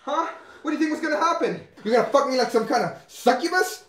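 An actor playing a sleepless, paranoid young man in a horror drama, speaking in a halting, agitated, sweary way. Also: low voice, close to an everyday voice. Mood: furious. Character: sleepless, paranoid, foul-mouthed young man haunted at home. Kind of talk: found-footage horror film acting, confessional to camera. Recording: roomy echo, faint background noise.

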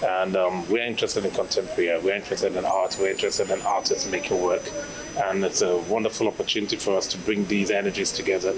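A man's voice: deep voice, level tone, quick pace, low-quality recording. A middle-aged man giving an interview as an arts director, into a handheld microphone indoors. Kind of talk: interview soundbite. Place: indoor gallery event. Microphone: handheld reporter microphone. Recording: crowd murmur at indoor event.

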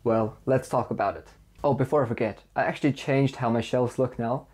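Mellow-voiced young man reviewing books. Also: medium pitch, husky. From Faroe Islands. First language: Faroese. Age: young adult. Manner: enthusiastic, lists praise in measured steps. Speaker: book reviewer. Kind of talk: scripted book review. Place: indoor room with bookshelves.

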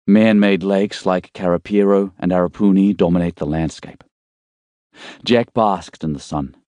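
A man reading a thriller novel as an audiobook narrator, in a measured, suspenseful storytelling way. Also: sits low, mellow, no room sound.

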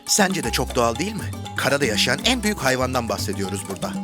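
Fussy, animated little cartoon mouse voiced by a man. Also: mid-range voice, fast talker, natural voice. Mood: nervous. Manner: fussy, theatrical, expressive. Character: small, nervous, indignant cartoon mouse. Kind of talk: animated children's cartoon dialogue. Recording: music bed behind the voice.

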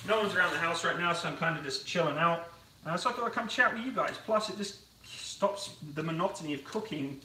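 A man's voice: on the high side, pushed and bright, a little hiss or hum. A young man chatting casually on a live stream while cooking in his home kitchen, rambling and self-deprecating. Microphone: camera on tripod across the kitchen. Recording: roomy, distant kitchen sound.

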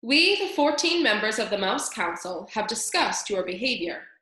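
A woman's voice: a little high, very animated, normal speed, sharp tone, heavy reverb. A woman voicing a stern elder male head mouse, formal, pompous and commanding, in a storybook.

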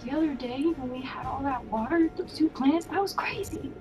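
A woman talking quietly with friends in voice chat during a space game. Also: high voice, normal speed. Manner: soft, quiet talk. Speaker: gamer in voice chat. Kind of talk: casual gaming chat. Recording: game music behind.

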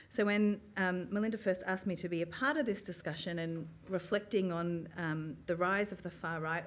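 A woman in her thirties, an academic, giving a prepared public talk from notes in a small room, measured and earnest, with frequent ums. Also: fairly low pitch, a little hiss or hum. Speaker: academic speaker. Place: small gallery room with an audience, indoors. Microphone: stand mic, filmed from the audience.

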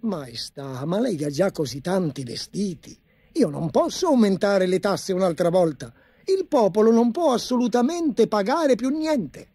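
A man's voice: very animated, a little slow, dark tone, breathy edge, no echo, heavily acted. A man voicing an anxious, fussy court chancellor who frets and shrills in alarm. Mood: pleading. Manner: shrill, fretful.

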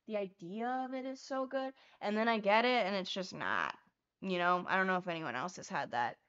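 A young woman streams from a small bedroom, chatting loosely and swearing as she paints. Her voice sits at a middling pitch and is turning a little hoarse. The lapel-mic sound is a touch dull and lo-fi. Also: animated, normal speed, fairly bright tone. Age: young adult. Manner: casual, swears freely, chatty and rambling. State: getting hoarse. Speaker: livestream host. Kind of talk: casual chat while painting cards.

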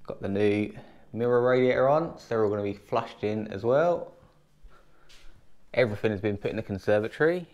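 A man's voice: lowish voice, fairly quick, long pauses, darkish tone, highs cut off. A man in his thirties with an English accent, giving an enthusiastic casual walkthrough of his home renovation in an empty room, filmed on an action camera. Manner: enthusiastic, upbeat.

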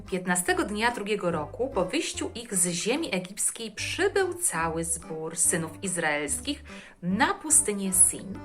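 A woman host reading Bible verses aloud to children in a deliberate storytelling manner. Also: mid-range voice, a bit bright.

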